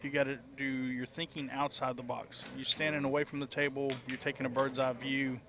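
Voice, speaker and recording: A man with a Texan accent giving relaxed, explanatory color commentary on a pool match, using folksy sayings. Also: mid-range voice, a little fast. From Texas. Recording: noisy crowd in the background.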